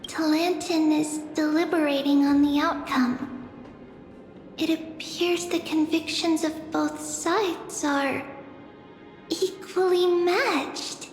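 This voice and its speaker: High-voiced solemn oracle, very slow. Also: female voice, steady tone. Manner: ceremonial, measured, formal. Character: solemn female oracle pronouncing divine judgment. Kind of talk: English video game voice acting. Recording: echoey hall reverb, faint music behind.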